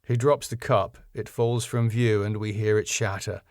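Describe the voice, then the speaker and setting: A man's voice: on the low side, level tone, normal speed, darkish tone, no room sound. Dry-recorded man narrating a script. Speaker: writer and narrator. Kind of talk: scripted narration of stage directions.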